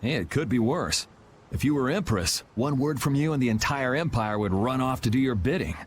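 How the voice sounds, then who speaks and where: A man's voice: mid-range voice, lively intonation, fairly quick, close to an everyday voice. Game hero: cool young vigilante, male actor, mid-range voice, dry and sardonic, noise underneath. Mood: wry, supportive. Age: young adult. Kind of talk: video game voice acting.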